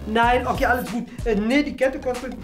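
A man's voice: very high voice, brisk, echoey room. Joking young man with music behind. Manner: joking, mock-boastful. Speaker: interview guest. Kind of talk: playful improvised interview banter. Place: small furnished indoor room. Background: prominent background music.

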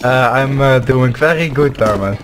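A young man from the Netherlands talks over a headset in casual game chat, laid-back and joking as he calls out where enemies are. His pitch sits in an ordinary middle range, with faint hiss behind him. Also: moderate pace. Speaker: gamer on voice chat. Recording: faint background noise.

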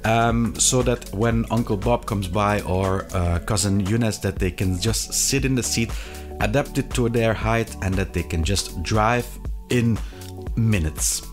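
A man in his thirties presenting a product review to camera, with a hesitant, halting delivery and frequent pauses. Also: on the low side, faint music behind. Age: 30s. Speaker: video reviewer of sim racing gear. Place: small indoor hobby room.

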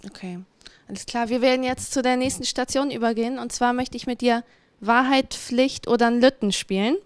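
A woman's voice: fairly high pitch, steady tone, fairly quick, clear, resonant tone, no room sound. A young woman hosting a casual interview, asking questions into a handheld microphone. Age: young adult. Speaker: interviewer, host. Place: indoor room, seated on a couch. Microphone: handheld microphone, close.

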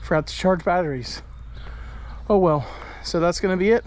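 A man's voice: sits high, moderate pace, a little mellow. A man, vlogging in an easygoing, chatty way while tired out on his farm. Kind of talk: casual vlog narration. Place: outdoors on a farm and in a pickup truck. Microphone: handheld action camera at arm's length.

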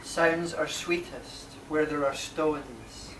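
A man, reading his poetry live in short, measured phrases. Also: on the high side. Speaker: poet reading own work. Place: marquee with a small seated audience. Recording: distant, echoey, noisy live recording.

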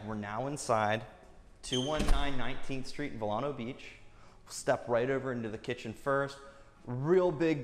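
A man in his thirties leads a real estate tour, his voice medium in pitch. He talks in an upbeat sales patter, firing off features in short bursts as he walks through the house on camera. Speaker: real estate agent giving a home tour. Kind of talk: enthusiastic walkthrough tour. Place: inside and around a single-story house.

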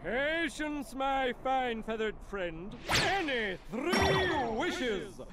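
An actor voicing a big, zany, boastful genie in a video game, with hammy game-show announcer patter. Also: male voice, very high voice, sing-song, drawn-out words, very noisy, heavily acted. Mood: showy.

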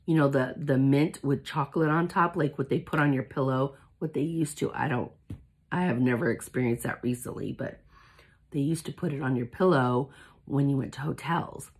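A woman in her forties who has lost her voice, talking hoarsely with a cough in a chatty, gushing unboxing vlog, seated in a small room in front of a phone camera. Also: extremely low pitch, normal speed, a little mellow. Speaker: independent product consultant. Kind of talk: casual product unboxing vlog. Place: small indoor room with shelves, seated at a table.

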